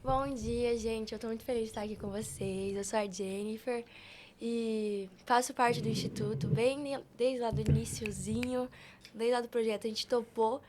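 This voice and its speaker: A woman's voice: fairly high pitch, steady tone, normal speed, background noise. A woman of eighteen with a Brazilian accent, talking casually as a guest on a podcast. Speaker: podcast guest, youth preacher.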